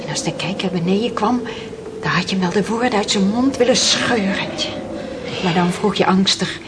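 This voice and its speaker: A woman's voice: mid-range voice, normal speed, close to an everyday voice. An actress playing a sorrowful, twice-widowed fisherman's wife in a radio drama, telling her story in plain, emotional words. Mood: anguished. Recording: noisy old radio play recording.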